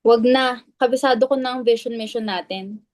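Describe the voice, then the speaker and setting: A woman's voice: fairly high pitch, normal speed, no echo, natural voice. A Filipino woman playing a negative, suspicious, curt club member in a dramatic reading. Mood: dismissive.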